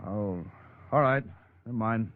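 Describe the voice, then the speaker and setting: A man's voice: lowish voice, a little slow, close to an everyday voice. A man playing a guilty businessman in an old-time radio drama, putting on a casual, hesitant front over his nerves. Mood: worried. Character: guilty businessman feigning breezy casualness, nervous underneath. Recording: tinny vintage radio recording.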